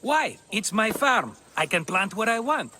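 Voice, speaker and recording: A man's voice: high voice, sing-song, unhurried, bright, forceful tone, a little hiss or hum, exaggerated voice. A man playing a scheming, boastful, glib Greek would-be wine merchant with a theatrical delivery in a video game. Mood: grandiose. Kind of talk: video game cutscene acting.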